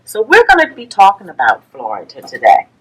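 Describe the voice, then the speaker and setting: A woman reading a rhyming children's picture book aloud as a story-time reader, with deliberate, rhythmic phrasing for young listeners. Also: medium pitch, wildly swooping pitch, breathy edge, poor audio.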